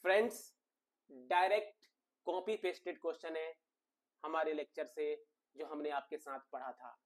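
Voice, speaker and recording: A man's voice: on the high side, steady tone, normal speed. A man with an Indian accent teaching an exam coaching lesson, explaining emphatically, repeating points and asking rhetorical questions.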